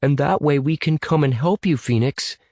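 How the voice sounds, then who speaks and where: A man's voice: fairly high pitch, moderate pace, a little mellow, no echo, studio quality, pitched above the performer's own voice. A man voicing a young male computer geek who talks casually, directly and eagerly in an audiobook story. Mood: practical.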